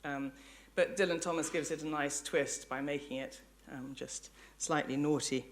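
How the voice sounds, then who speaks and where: A woman's voice: low voice, fairly even intonation, moderate pace, a little hiss or hum. A woman with an English accent giving a public academic lecture, thinking aloud in reflective, sometimes hesitant phrasing with self-corrections. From England. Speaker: lecturer, professor. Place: lecture hall before an audience.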